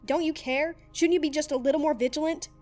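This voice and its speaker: A woman voicing a nervous but hot-tempered young man who yells in anger. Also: on the high side, fast talker, faint music behind, natural voice. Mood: furious. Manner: shouting in rage, nervous small talk. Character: nervous, furious young man hunting aliens.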